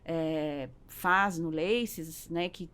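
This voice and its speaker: A woman's voice: sits low, drawn-out words, fairly bright tone, clean tone. A woman with a Brazilian accent explaining her business as a podcast guest in casual conversation, often checking in with tag questions.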